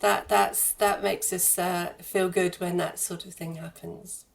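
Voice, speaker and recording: Female charity spokesperson in interview, lowish and even-toned, earnest and explanatory, faint noise behind. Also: a little slow, creaky. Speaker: interview guest, charity fundraising head.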